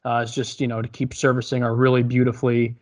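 A man joking around as a podcast guest, tossing out deadpan quips. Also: mid-range voice, quick pace. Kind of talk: casual podcast chat.

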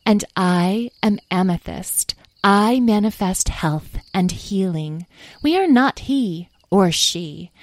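A woman's voice: mid-range voice, lively intonation, slow pace, dry recording. A woman voicing a haughty, ageless fairy for a storybook, solemn and disdainful of humans.

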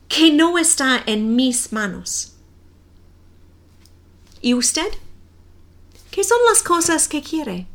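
A woman in her forties with a non-native accent, reading a simple story slowly and carefully for language learners. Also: sits high, a bit bright. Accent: non-native Spanish accent. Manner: careful, deliberate reading with clear pauses. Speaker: Spanish teacher.

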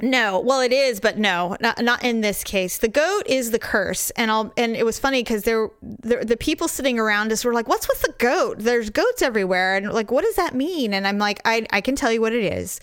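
A middle-aged woman, slightly hoarse, telling a story in casual, animated chat and laughing often. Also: fairly high pitch, normal speed, few pauses, dry recording, clean studio sound. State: hoarse, recovering voice.

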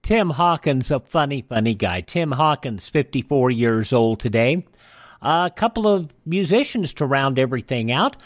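A man's voice: medium pitch, lively intonation, normal speed. A man hosting a radio show, informally reading out a rundown of dates and names. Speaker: radio host. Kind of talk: informal radio rundown of on-this-day history and birthdays.

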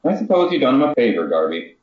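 A man's voice: medium pitch, very animated, fast, echoey room, lo-fi sound, voice pushed up, close to an everyday voice. A man voicing a male owl who talks in a folksy, ungrammatical way, during a story reading. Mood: wry. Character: male owl with an odd frightening smile.

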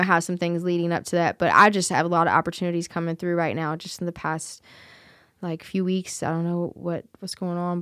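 A woman's voice: fairly low pitch, vocal fry, clear, resonant tone, no echo, clean studio sound. A woman singer chatting as an interview guest, earnest and candid, with plenty of fillers and restarts. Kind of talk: casual interview chat.

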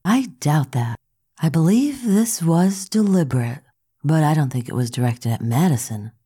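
A woman's voice: deep voice, lively intonation, moderate pace, warm tone, slightly rough. An actress playing a serious, analytical woman who speaks precisely and matter-of-factly. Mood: serious, deductive.

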